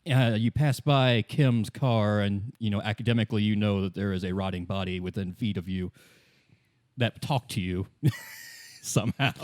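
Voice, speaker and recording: A man's voice: lowish voice, fairly even intonation, moderate pace, a little mellow, dry recording. Casual man game master, dry close sound. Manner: conversational, colloquial. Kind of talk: casual improvised narration of a tabletop-style game.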